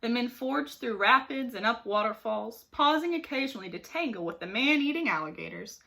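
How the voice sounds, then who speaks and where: A woman reading a children's story aloud like a teacher, with expressive, dramatic emphasis. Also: a little high, fairly quick, creaky. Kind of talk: animated storybook narration for children.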